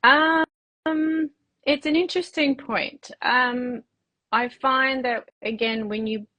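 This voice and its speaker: A woman's voice: high voice, steady tone, slow pace, pushed and bright, highs cut off. An Australian woman who owns a women's health practice, talking earnestly in a casual live-stream interview, with many restarts and fillers. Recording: video call, remote.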